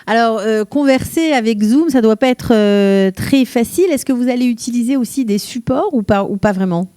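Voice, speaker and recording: Woman interviewer, dry and mellow. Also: fairly high pitch, normal speed, no echo. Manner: brief questions and short acknowledgements. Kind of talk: interview over video call.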